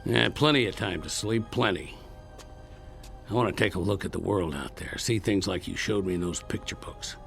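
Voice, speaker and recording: A hardened Old West killer for a radio play. The voice is low and creaky, with a Western American drawl. The talk is folksy, blunt and defiant, from someone wary and unrepentant. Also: male voice, moderate pace, fairly bright tone, soft background music. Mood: curious, wary. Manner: folksy, plain-spoken frontier talk. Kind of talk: radio drama acting.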